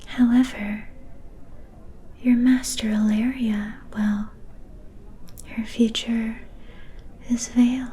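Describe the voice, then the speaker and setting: Slow whispering vampire seeress, acted by a woman. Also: a little high, warm tone, a little hiss or hum, rough recording. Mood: cautioning. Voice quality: breathy unvoiced whisper. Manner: slow, deliberate, cryptic. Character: mysterious vampire seer, soft-spoken, ominous and faintly amused. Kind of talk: ASMR fantasy roleplay, whispered.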